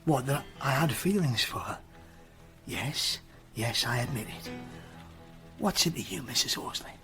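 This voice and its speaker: An actor playing a timid, polite village vicar in a radio drama, hesitant and fluttery. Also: male voice, mid-range voice, expressive, unhurried, music in the background. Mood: indignant. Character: flustered, genteel male village vicar, guilty and timid.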